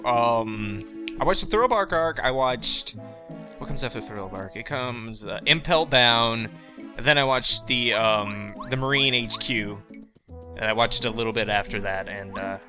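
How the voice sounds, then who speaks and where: A young man thinks aloud as he plays through a video game, joking and teasing himself, with lots of fillers and false starts. His pitch jumps around a great deal. Game music plays clearly behind his voice. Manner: rambling, joking, self-mocking, full of fillers. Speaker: let's play host. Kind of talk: casual gameplay commentary.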